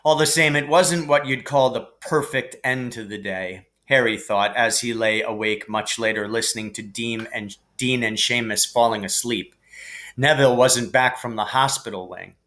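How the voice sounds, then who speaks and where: A man narrating a children's fantasy novel aloud in an expressive storytelling manner. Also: mid-range voice, normal speed. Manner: expressive, character voices for dialogue. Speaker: reader, teacher reading aloud.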